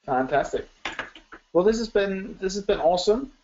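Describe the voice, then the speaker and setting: A man's voice: high voice, lively intonation, normal speed, a little mellow, slight background noise. A man hosting a live webinar Q&A, chatty and enthusiastic, with lots of ums and restarts. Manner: chatty, filler-heavy, enthusiastic. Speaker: webinar host. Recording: dull lo-fi webinar audio.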